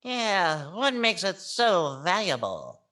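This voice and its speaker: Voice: medium pitch, wildly swooping pitch, slow pace, pushed and bright, heavily acted. An actor voicing a wisecracking, sarcastic ventriloquist's dummy boy in 1940s radio comedy style. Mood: cheeky, eager. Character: wisecracking ventriloquist dummy boy, cheeky complainer, playing gold prospector.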